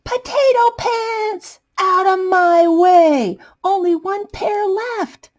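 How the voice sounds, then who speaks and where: A woman voicing an excitable, dramatic, panicky male potato who wails and exclaims, in a children's storybook reading. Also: very high-pitched, takes it slowly, pitched above the performer's own voice. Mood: frantic. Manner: wailing, exclaiming, melodramatic.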